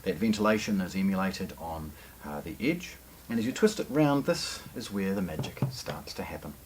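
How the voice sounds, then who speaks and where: Low-pitched New man presenting a product. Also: animated, normal speed, a little hiss or hum. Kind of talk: unscripted unboxing commentary.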